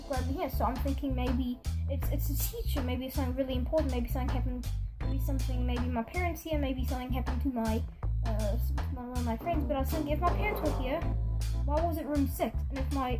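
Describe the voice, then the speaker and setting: A young person telling stories in a casual vlog style, rambling and indignant, acting out what other people said. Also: high voice. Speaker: video storyteller. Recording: music behind the voice. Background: music.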